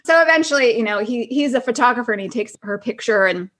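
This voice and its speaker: A woman's voice: on the high side, animated, quick pace, a bit bright. A woman in her forties chatting casually and reminiscing as a podcast guest, informal, giggly and loosely rambling. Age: 40s.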